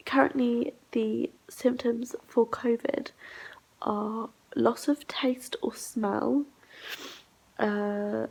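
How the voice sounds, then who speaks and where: A young woman vlogging at home while sick and congested, rambling in a low, half-whispered voice. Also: creaky, darkish tone, husky, poor audio. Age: young adult. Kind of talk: casual diary vlog. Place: flat, indoors.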